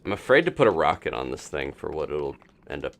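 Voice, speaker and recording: Man, deep voice, creaky fry, casual gameplay commentary, musing to himself, music in the background. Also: sing-song, quick pace, fairly bright tone, a little hiss or hum. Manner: musing to himself, thinking aloud. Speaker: video game streamer.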